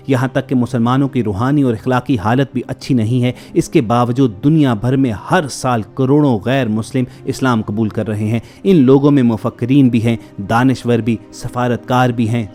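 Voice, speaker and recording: Male report narrator, formal scripted delivery, mid-pitched voice, music bed underneath. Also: darkish tone. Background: background music.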